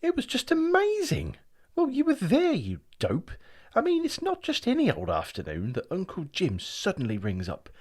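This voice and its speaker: Man voicing a chattering schoolboy, high squeaky voice, wildly animated. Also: moderate pace, slightly rough. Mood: excited. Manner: gushing, breathless boasting. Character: excitable chattering schoolboy brother.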